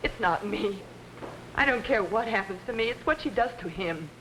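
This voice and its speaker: An actress playing an ambitious, manipulative young pianist in early 1950s television drama, pleading in a melodramatic way. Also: female voice, mid-range voice, animated, normal speed, a bit bright, lightly acted. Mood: anxious pleading. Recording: noisy old 1950s TV soundtrack.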